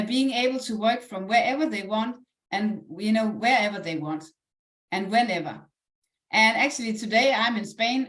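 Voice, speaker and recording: A woman with a Danish accent and a fairly high voice presents a live online business pitch. She speaks in an earnest, encouraging, conversational manner. The sound is roomy, with clear echo around her voice. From Denmark. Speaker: online presenter, network marketing ambassador. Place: indoors, echoey room, live stream.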